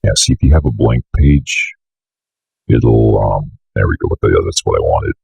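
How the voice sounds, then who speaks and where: A young man giving a casual, offhand screencast tutorial with some swearing, in a very deep, fry-laden voice close to a desk mic. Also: expressive, moderate pace, a little mellow, no echo. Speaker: tutorial host. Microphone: close desk microphone on an arm.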